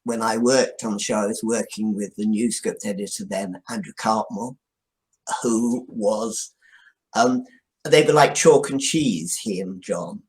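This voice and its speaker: Older guy looking back in an interview, takes his time, measured and emphatic. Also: fairly low pitch, low-quality recording. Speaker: podcast guest, television scriptwriter. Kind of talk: reflective interview recollection.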